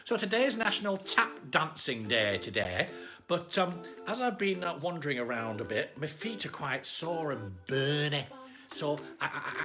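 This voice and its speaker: A woman's voice: fairly low pitch, a bit bright. A woman voicing a small, cheerful, chatty armadillo who hosts a children's bedtime story livestream. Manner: chatty, exclaiming greetings, giggly.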